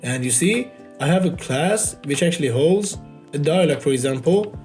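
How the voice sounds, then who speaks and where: A man's voice: fairly high pitch, lively intonation, moderate pace, darkish tone, faint music behind. A man whose first language is Farsi gives a loose, unedited walkthrough of his indie game. He keeps filling gaps with "you know". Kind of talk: casual unedited devlog walkthrough.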